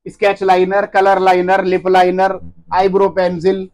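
A man in his thirties, a shop owner, rattling off products and prices in a quick, pushy sales patter, recorded on a phone camera inside his shop. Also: high voice, completely flat delivery, a little mellow. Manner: rapid, pushy salesman patter, repeats words. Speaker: wholesale cosmetics shop owner. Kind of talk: fast sales pitch listing prices. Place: cosmetics shop among stocked shelves, indoors. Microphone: handheld phone camera up close.

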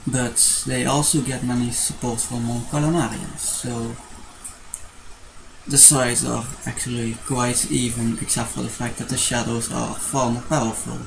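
A man's voice: mid-range voice, level tone, a little slow, vocal fry, noisy background. A young man with a Dutch accent, rambling hesitantly in a casual vlog from his bedroom, recorded on a webcam. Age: young adult. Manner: rambling, restarts often, hesitant fillers. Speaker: vlogger. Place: small bedroom with posters. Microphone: webcam at desk.

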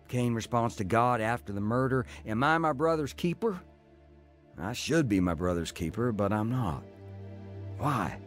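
A man's voice: medium pitch, close to an everyday voice. An actor playing a tough career criminal telling his life story in a folksy, plain-spoken way for a radio drama. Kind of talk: radio drama acting and first-person narration.

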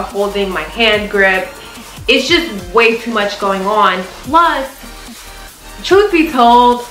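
A woman's voice: mid-range voice, pushed and bright, roomy sound, low-quality recording. A young adult American woman vlogger chatting casually to camera in an emphatic, conversational way, often checking in with the listener. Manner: emphatic, conversational, punctuated with "right?" check-ins. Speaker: vlogger and flight attendant. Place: small living room, indoors on a sofa.

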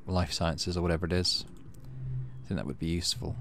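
A man narrating a game playthrough casually, reading text aloud and thinking out loud in an easygoing way. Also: low-pitched, fairly even intonation, brisk, vocal fry, noisy background. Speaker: gaming video host.

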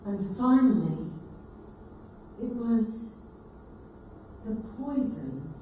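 A woman's voice: fairly high pitch, animated, extremely slow, dark tone. A woman playing a pleading, childlike girl at a deathbed in a staged dramatic reading, with emphatic delivery. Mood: searching, insistent. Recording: echoey hall from far off, noisy.

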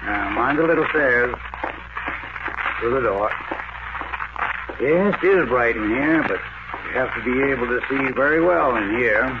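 An actor playing a calm, matter-of-fact morgue attendant in a 1940s radio drama. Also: male voice, mid-range voice, measured pace, pauses often, dull audio. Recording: phone-thin old radio recording with loud hiss.

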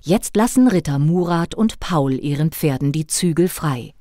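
Woman narrating, lively and low-pitched. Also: moderate pace, no room sound, clean studio sound. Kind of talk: scripted story narration for children.

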